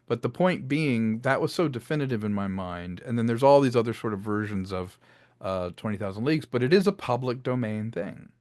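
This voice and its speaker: A man's voice: mid-range voice, lively intonation, a little fast, a little mellow, dry recording. A man being interviewed as a filmmaker, chatting casually and telling stories with frequent check-in questions. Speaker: filmmaker and audio drama creator being interviewed.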